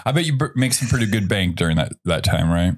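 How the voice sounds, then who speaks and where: Raspy tired guy hosting a bar podcast, deep low voice, lots of fry. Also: expressive, quick pace. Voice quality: gravelly. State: raspy from lack of sleep. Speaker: podcast host. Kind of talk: casual podcast chat. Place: Iowa.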